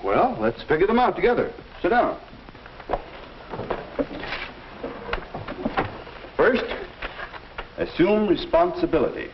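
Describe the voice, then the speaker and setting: An actor playing a kindly, patient teacher with a measured, explanatory way of talking in a 1950s instructional film. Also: male voice, sits high, sing-song. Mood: encouraging. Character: kindly, patient male teacher advising a student. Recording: muffled old film soundtrack, faint noise.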